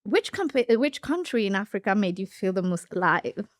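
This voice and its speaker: A woman's voice: medium pitch, sing-song, a little fast, no echo, studio quality. A woman hosting a podcast interview, asking questions and reacting with encouragement. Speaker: podcast host. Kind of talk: casual conversational interview.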